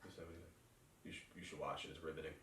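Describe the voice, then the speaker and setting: A man's voice: extremely low pitch, flat delivery, very fast, roomy sound, far from the mic, background noise. A young man with a New York accent, hosting a podcast and joking around in casual, rambling chat. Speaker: podcast host.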